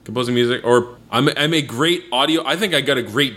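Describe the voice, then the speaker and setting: A man co-hosting a podcast in casual chat, laid-back, swearing casually in quick banter. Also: medium pitch, bright, forceful tone. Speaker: podcast co-host.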